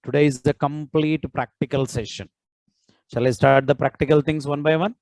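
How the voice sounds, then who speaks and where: A man's voice: a little high, fairly even intonation, normal speed, vocal fry, a little mellow, no room sound, low-quality recording. A man teaching a live online coding class, nudging his students to respond and repeating his prompts. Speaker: programming instructor.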